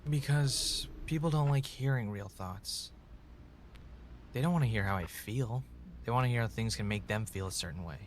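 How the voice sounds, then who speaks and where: A man's voice: lowish voice, normal speed, a little hiss or hum, natural voice. A man acting in an audio drama as an uneasy, skeptical, sleep-deprived guy who stammers and halts when rattled. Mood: guarded. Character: Ray, sleep-deprived, skeptical, rattled former reality show contestant.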